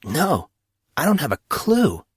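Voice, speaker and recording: A man's voice: fairly high pitch, wildly swooping pitch, slow pace, slightly rough, no room sound, studio quality, voice pushed up, exaggerated voice. A man voicing a self-doubting, teasing fourteen-year-old boy in an audiobook. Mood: playful. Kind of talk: audiobook character dialogue.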